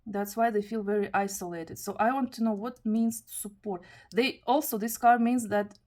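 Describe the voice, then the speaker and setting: A woman from Kazakhstan, not an English speaker, giving a tarot reading in careful, halting English. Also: medium pitch, fairly even intonation, a little mellow. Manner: careful, halting non-native English with restarts. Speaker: tarot reader.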